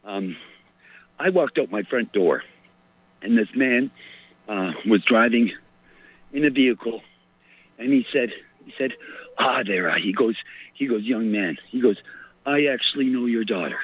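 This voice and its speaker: A man calling in to a radio show with a hoarse voice, rambling and distracted as he talks. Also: mid-range voice, expressive, measured pace, pauses often. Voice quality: hoarse, husky. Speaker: caller. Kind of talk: phone call to radio show. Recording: muffled lo-fi phone line.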